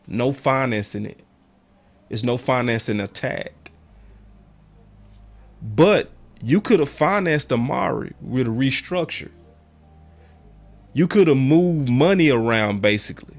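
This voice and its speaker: A hoarse, raspy-voiced man hosting a sports call-in show, talking in an emphatic, slangy, animated way. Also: mid-range voice, measured pace, pauses often. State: hoarse, voice going in and out. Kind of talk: passionate sports commentary to callers and viewers.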